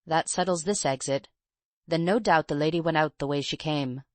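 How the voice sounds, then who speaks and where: A woman's voice: low voice, moderate pace, dry recording, lightly acted. A woman playing a keen, probing detective. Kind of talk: audiobook character reading.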